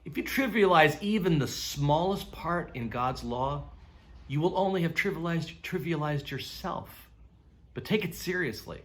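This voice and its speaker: Guy reading bible passage aloud, voice a bit on the higher side. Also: unhurried. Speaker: scripture reader.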